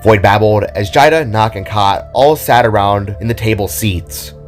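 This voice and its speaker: A man's voice: fairly low pitch, moderate pace. A man reading scripted story narration as the narrator of an audio drama. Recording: background music behind the voice.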